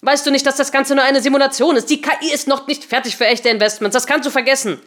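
A woman's voice: high-pitched, lively intonation, moderate pace, voice pushed up. A woman narrator voicing an angry, demanding woman programmer in an audiobook, with an emphatic, confrontational delivery. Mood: angry, threatening.

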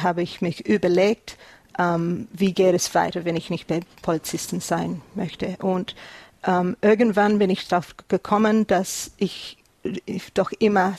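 A woman's voice: lowish voice, vocal fry, darkish tone, dry recording. Hesitant Australian woman speaking German. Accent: Australian English. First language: English. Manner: hesitant, many fillers and restarts, searching for words. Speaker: author and police officer. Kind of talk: interview guest.

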